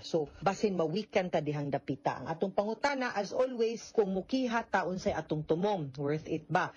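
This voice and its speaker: The recording is slightly muffled and lo-fi, carrying a woman's fairly low, husky voice with a Cebuano Filipino accent. She sounds like an advice host going through listener letters, explaining things frankly in a conversational way and tagging her points with short questions. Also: darkish tone. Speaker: radio legal advice host.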